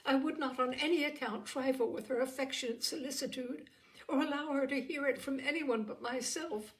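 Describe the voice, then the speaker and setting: Period storybook young gentlewoman, played by a woman narrator: high, tender, effusive, exclamatory. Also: moderate pace, natural voice. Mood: elated.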